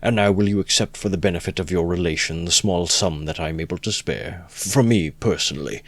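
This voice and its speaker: A man voicing a pompous, calculating middle-aged gentleman who speaks in a measured, condescending way during an audiobook reading. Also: low-pitched, no room sound.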